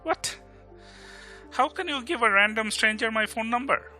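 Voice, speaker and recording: Male actor as young grumbler, Bengali Indian accent, very bright forceful voice, sarcastic teasing, audio drama, music underneath. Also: very high voice, moderate pace, heavily acted. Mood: exasperated, sarcastic. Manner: sarcastic, exasperated. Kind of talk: amateur audio drama acting. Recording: music behind the voice.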